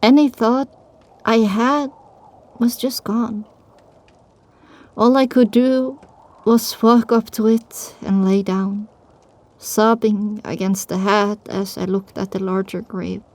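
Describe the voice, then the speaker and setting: A woman playing a determined young female pony who tells her story with grief, in an emotional, halting, drawn-out delivery for an audio drama. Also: medium pitch, steady tone, a little mellow. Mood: somber, determined. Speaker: narrator. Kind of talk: first-person dramatic audiobook narration.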